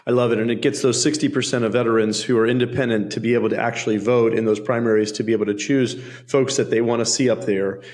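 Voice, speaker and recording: A man's voice: medium pitch, steady tone, fast talker, few pauses, a little mellow. A man hosting a podcast interview, affirming and summing up his guest's points before asking questions. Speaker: podcast host.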